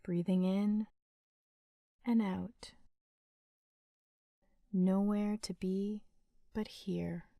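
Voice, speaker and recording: A woman leading a guided sleep meditation in a gentle, soothing, unhurried manner with long pauses. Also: mid-range voice, level tone, vocal fry, no room sound, clean studio sound.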